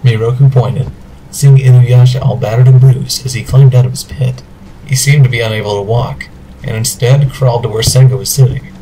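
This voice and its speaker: A man's voice: mid-range voice, steady tone, moderate pace, warm tone, slight background noise, poor audio. A man reading scripted narration for an amateur audio drama.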